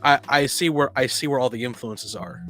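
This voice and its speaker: A man co-hosting a casual podcast discussion, talking in a halting way and often rephrasing mid-sentence. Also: mid-range voice, a bit bright, faint music behind. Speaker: podcast co-host. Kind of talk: casual discussion of tabletop roleplaying.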